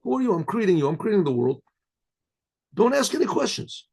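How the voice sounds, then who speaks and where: Impatient scolding God, put on by a man, high-pitched, husky, exasperated. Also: sing-song, a little fast, darkish tone, exaggerated voice.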